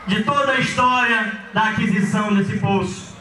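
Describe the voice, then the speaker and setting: Brazilian man from Maranhão, young, high and declamatory, street speech through an echoey PA, caught from afar. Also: steady tone, normal speed, slight background noise. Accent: Brazilian Portuguese, from Maranhão. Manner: declamatory, often raising his voice. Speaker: local community leader giving a speech. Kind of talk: outdoor public speech at a community event. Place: outdoors at night on a street, crowd around. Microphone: handheld mic into a PA system. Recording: echoey PA sound from a far camera, loud ambience.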